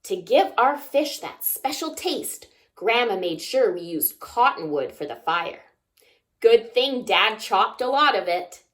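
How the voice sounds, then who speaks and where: Slow, animated woman reading to children. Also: fairly high pitch. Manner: expressive, asks child listeners simple questions. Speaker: children's story time reader. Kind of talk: read-aloud children's picture book narration.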